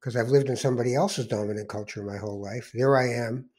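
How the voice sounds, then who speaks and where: Slightly husky older Canadian man, reflective. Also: medium pitch, fairly even intonation, fast, darkish tone. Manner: rambling, reflective storytelling with digressions. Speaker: podcast host. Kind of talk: casual conversational podcast monologue.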